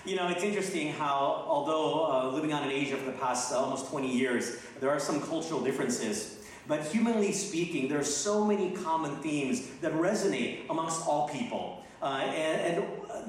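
A man's voice: fairly high pitch, lively intonation, moderate pace, a little hiss or hum. A middle-aged man, from the Philippines and raised in Texas, preaching a sermon as a guest pastor in a casual way with many fillers and restarts. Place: church sanctuary. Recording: distant mic in an echoey hall.

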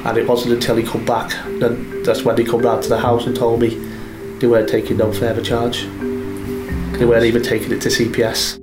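A man's voice: medium pitch, completely flat delivery, brisk, roomy sound, poor audio. A man in an interview recounting events in a matter-of-fact way. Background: guitar music.

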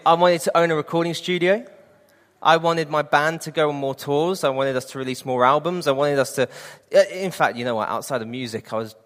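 A man's voice: a little high, fairly bright tone, in a large hall. A man in his late twenties preaching a sermon and sharing an earnest personal testimony. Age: 20s.

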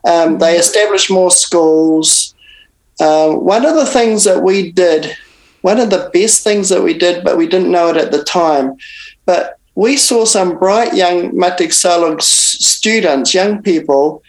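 An older man, a Bible translator, speaking as an interview guest and recounting events in an unhurried way with frequent restarts and pauses. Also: high-pitched, poor audio. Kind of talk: reflective interview storytelling.

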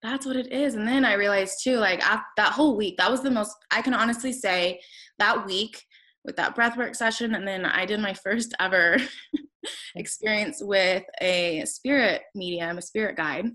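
A woman's voice: mid-range voice, moderate pace, sharp tone. A woman around thirty, a coach and podcast host, talking earnestly in a casual conversation, rambling with many fillers and restarts. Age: 30s.

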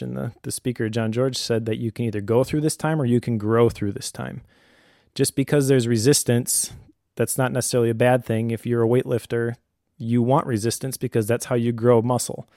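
Mellow middle-aged man giving earnest advice. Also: medium pitch, steady tone, dry recording, clean studio sound. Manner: earnest, encouraging, measured. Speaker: podcast host, homeschooling father. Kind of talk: conversational advice talk.

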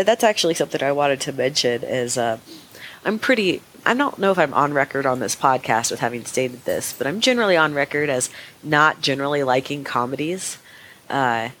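Young female podcast co-host, sick and raspy, rambly casual delivery, faint background noise. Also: sits low, fairly bright tone. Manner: chatty, rambling with many fillers.

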